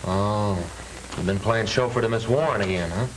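A man's voice: lowish voice, moderate pace, close to an everyday voice. An actor playing a frank, loyal young newspaperman in a 1930s film, with slangy talk. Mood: frank, concerned. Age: young adult. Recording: noisy, echoey 1930s film soundtrack.

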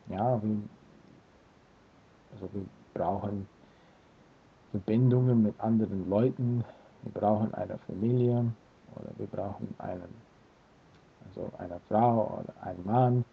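Australian guy in his 30s, slow and hesitant, gravelly raspy voice, tinny webcam audio. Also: lowish voice. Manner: hesitant, searching for words with many fillers. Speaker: language learner vlogger. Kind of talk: casual talk to camera. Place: small room indoors. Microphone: webcam built-in mic. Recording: tinny phone-like lo-fi sound.

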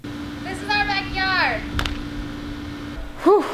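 Young mom vlogger, high-pitched, chatty and wistful, handheld camera in echoey rooms. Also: female voice, expressive, very slow. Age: young adult. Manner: chatty, wistful, filler-heavy. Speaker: family vlogger. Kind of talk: casual house tour vlog. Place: suburban family house, indoors. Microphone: handheld camera held close. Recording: echoey rooms, faint noise.

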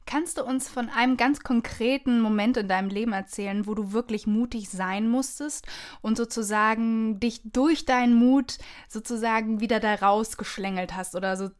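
A woman's voice: a little high, moderate pace. A woman hosting a podcast and interviewing in a conversational style.

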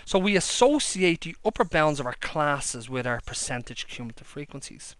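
A man's voice: fairly high pitch, lively intonation, moderate pace, fairly bright tone, clean tone, dry recording. Man explaining maths while he draws. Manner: talks while drawing, plain step-by-step explaining. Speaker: maths lecturer. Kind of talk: handwritten whiteboard-style maths lesson. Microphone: overhead camera above a desk.

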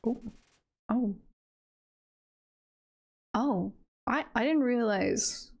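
Slow, creaky-voiced playful woman streamer. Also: a little high. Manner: playful, teasing, giggly. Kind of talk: casual video game livestream chat.

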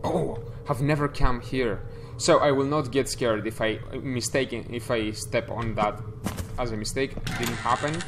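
A man's voice: mid-range voice, steady tone, a little slow, few pauses, noisy background. A young man commentating a horror game as he plays, thinking aloud and startling easily, on a headset mic. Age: young adult. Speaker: let's play gamer. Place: small room indoors. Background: game music.

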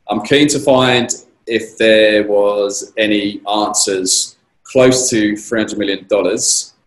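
A man with a slightly croaky voice answering questions as a guest speaker on a video call, measured and conversational. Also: fairly low pitch. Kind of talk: conversational conference Q&A. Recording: roomy echo on a video call.

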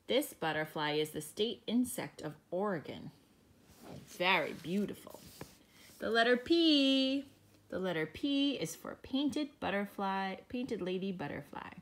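A woman teacher reading a picture book aloud to young children, explaining each page in a gentle way. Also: medium pitch.

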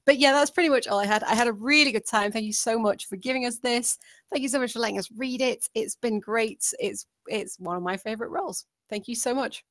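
A woman's voice: fairly high pitch, brisk, fairly bright tone, no echo, studio quality. A woman giving casual spoken feedback as a participant and host in an online group call. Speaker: table read participant and stand-in host. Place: remote online voice call, dry sound.

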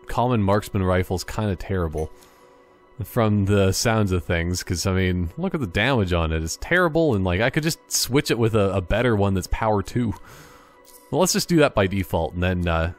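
Low-voiced man rambling through game commentary. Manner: rambling, thinking aloud in tangents. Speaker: gaming YouTuber. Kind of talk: casual, jokey gameplay commentary.

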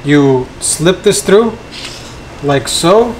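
A man's voice: a little high, lively intonation, drawn-out words. Casual young man, noisy room sound. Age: young adult. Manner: informal, slangy. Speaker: family vlogger. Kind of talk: casual hands-on product tutorial. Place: living room at home with tiled floor. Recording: clear background room noise.

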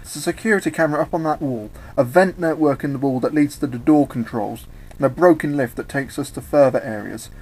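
A man's voice: medium pitch, brisk, a little mellow, natural voice. A man voicing a stern, curt, confident woman bounty hunter in a story reading. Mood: businesslike.

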